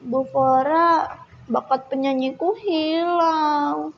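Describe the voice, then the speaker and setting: A timid young singer from a children's tale, played by a female storyteller talks hesitantly in a high voice. The sound is muffled and rings in a large hall. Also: moderate pace. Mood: sad, unsure. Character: shy, sad young singer with stage fright. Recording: muffled echoey hall recording.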